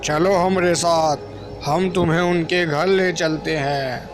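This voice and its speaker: Guy doing a village man, high voice, slow and dramatic, horror cartoon. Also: fairly even intonation, over music. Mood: helpful. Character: rustic village man, alarmed, warning of witches. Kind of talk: Hindi cartoon horror story acting.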